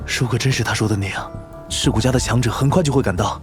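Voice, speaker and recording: 一名男演员在动画剧中饰演机灵莽撞的年轻男魔法师主角，说话直冲、急切。. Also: male voice, mid-range voice, quick pace, music in the background, close to an everyday voice. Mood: urgent. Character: brash, quick-witted young male mage hero. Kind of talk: animated audio drama acting.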